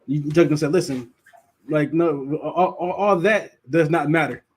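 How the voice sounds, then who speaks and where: A man's voice: a little high, normal speed, a little mellow, slightly rough. A man who stutters and repeats words, casually teaching a Bible class and laughing often. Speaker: teacher leading a Sabbath class.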